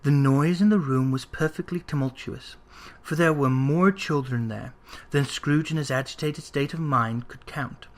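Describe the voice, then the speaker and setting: A narrator reading classic literature in a measured, expressive storytelling manner. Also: mid-range voice. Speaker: audiobook narrator.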